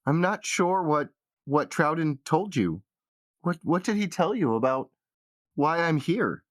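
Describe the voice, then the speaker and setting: Man voicing a hesitant, higher-pitched regretful wife. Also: lively intonation, no echo, studio quality. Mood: defensive, hesitant. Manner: hesitant, halting, earnest. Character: regretful, flustered woman, a wife pleading her case. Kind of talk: tabletop roleplay acting.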